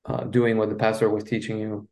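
A man with a Belgian French accent, whose first language is French, speaks as a relationship coach on a podcast. His voice sits low and stays quite flat in pitch. He talks haltingly, restarting his sentences often. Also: gravelly. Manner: halting, with many restarts and fillers. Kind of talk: personal story in a podcast interview.

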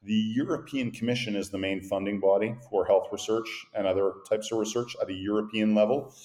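A middle-aged man with a Canadian accent, a company founder telling his career story in measured, articulate sentences. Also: fairly low pitch, steady tone, darkish tone. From grew up in Montreal, born in Boston. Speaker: guest, company founder and CEO. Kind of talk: interview conversation. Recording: echoey room.

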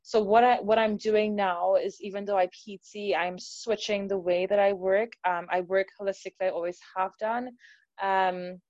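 A woman's voice: medium pitch, a little mellow, no echo, dull audio. A woman in her thirties from Trinidad and Tobago, with an accent mixing Trinidadian and American, chatting as an interview guest about her work as a personal trainer, with lots of fillers and easy laughter. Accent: mixed Trinidadian and American. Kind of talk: casual conversational interview.

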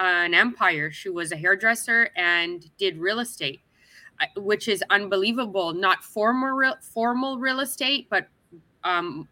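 A woman's voice: medium pitch, sharp tone, no room sound. A woman from Canada, talking as an interview guest in a chatty, upbeat way. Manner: chatty and upbeat, with frequent restarts and laughs. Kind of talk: casual interview chat.

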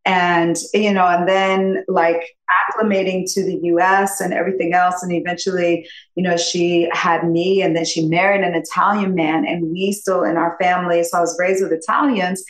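Effusive woman in her fifties, low and echoey. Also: little pitch movement, normal speed. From upstate New York. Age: 50s. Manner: effusive, rambling, laughs often. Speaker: podcast guest. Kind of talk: casual interview chat.

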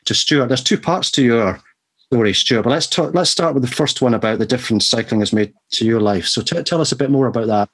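A man with a husky, slightly breathy voice hosts a relaxed conversation. He hesitates often and fills pauses with ums as he talks. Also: mid-range voice. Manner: hesitant, many fillers. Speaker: host. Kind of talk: casual chat.

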